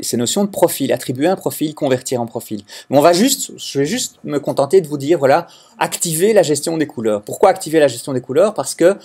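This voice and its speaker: A husky-voiced man from Belgium lectures his students through a software lesson. His voice sits a little high, and he talks in a hesitant, explanatory way, often restarting his sentences. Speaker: teacher. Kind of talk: recorded classroom lecture.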